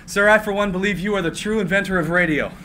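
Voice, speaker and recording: A man's voice: high voice, quick pace, sharp tone, a little hiss or hum. A man reading the part of an earnest young admirer at a live script reading. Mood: eager. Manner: eager, earnest.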